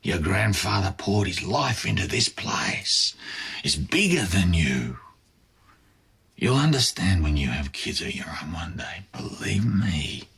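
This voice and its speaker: A man's voice: sits low, sing-song, unhurried, vocal fry, a bit bright, slightly rough, heavily acted. An actor playing a middle-aged restaurateur father, weary and proud, speaking solemnly in an audio drama. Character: weary, proud restaurateur father lecturing his grown son.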